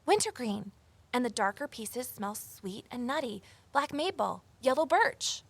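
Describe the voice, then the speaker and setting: A woman's voice: on the high side, very animated, a little slow, vocal fry, husky, full character voice. A woman playing an earnest, uneasy amateur investigator, confiding and intent as she narrates an audio drama. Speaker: narrator and lead character.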